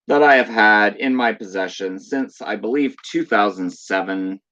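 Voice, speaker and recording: A man's voice: on the low side, dry recording, dull audio. A man hosting a casual video chat, reading box blurbs aloud and talking about his collection while losing his voice. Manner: reads box blurbs aloud in a chatty, offhand way. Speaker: channel host. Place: room at home.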